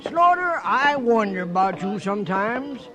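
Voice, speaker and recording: An actor playing a meek, chatty cowhand sidekick in a radio western, folksy and eager to chime in. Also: male voice, high-pitched, very animated, measured pace. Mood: musing. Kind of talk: 1950s radio western acting. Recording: vintage radio drama recording.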